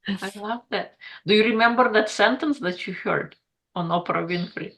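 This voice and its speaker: A woman hosting a podcast interview, asking questions and giving soft affirming replies. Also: medium pitch, normal speed, vocal fry. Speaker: podcast host.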